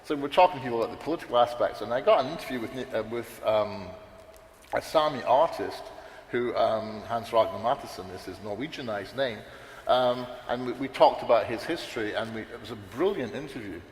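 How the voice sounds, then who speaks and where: A man's voice: mid-range voice, fairly quick. Man, panel speaker, rambling with restarts, echoey hall, long reverb. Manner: rambling, many restarts and fillers. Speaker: panelist at a writers' conference. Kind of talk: unscripted panel discussion. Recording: roomy hall reverb, faint background noise.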